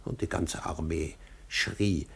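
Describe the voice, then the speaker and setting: An actor playing a bitter, grieving old court servant in a 1950s German radio drama, husky and deliberate. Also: male voice, extremely low pitch, steady tone, exaggerated voice. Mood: bitter grief. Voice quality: husky, creaky. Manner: slow, deliberate, bitterly ironic.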